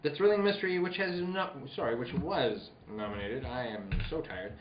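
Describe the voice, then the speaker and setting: A man's voice: mid-range voice, moderate pace, slight background noise. A man co-hosting and announcing in a loose, jokey old-time radio style. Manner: joking, loose. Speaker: co-host and announcer. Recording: echoey, dull room with people around.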